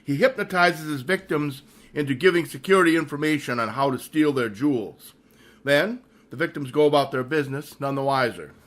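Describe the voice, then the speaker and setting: A man playing a forceful, theatrical vigilante sleuth. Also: fairly high pitch, lightly acted. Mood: confident. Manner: theatrical, deliberate, commanding. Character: stern, self-assured male vigilante super sleuth. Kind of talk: radio mystery acting.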